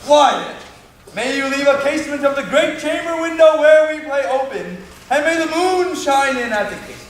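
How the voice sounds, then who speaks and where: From far off in an echoey room comes a bombastic, eager weaver acted by a man. His voice is strikingly high for a man, and he commands like a self-appointed star of a stage comedy. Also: moderate pace. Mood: excited. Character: Bottom, bossy, eager weaver and amateur actor. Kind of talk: theatrical Shakespearean stage acting. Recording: echoey room, distant mic, faint noise.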